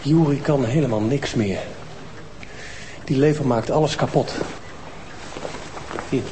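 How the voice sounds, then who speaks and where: A man's voice: medium pitch, slow, dark tone, slight background noise, close to an everyday voice. An actor playing a desperate father who pleads urgently for his sick child. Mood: pleading. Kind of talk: TV crime drama acting.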